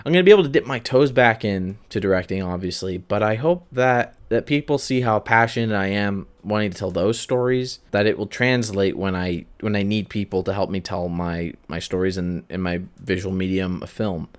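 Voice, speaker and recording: A young man hosting a solo podcast, talking candidly and confessionally in a halting, self-reflective way that often doubles back on phrases. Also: fairly low pitch, clean tone. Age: young adult.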